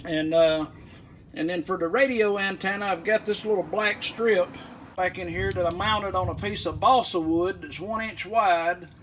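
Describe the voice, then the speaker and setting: A man's voice: high-pitched, moderate pace, fairly bright tone, slight background noise. A man giving a casual hands-on walkthrough in a folksy, rambling way. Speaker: aviation vlogger. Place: inside a small plane at an airport.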